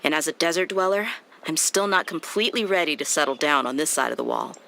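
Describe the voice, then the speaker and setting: Confident low-voiced mercenary. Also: female voice, animated, fast talker, creaky, fairly bright tone, heavily acted. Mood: confident, frank. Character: confident, tough young female mercenary, candid and unshakeable. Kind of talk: video game character acting. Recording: music behind the voice.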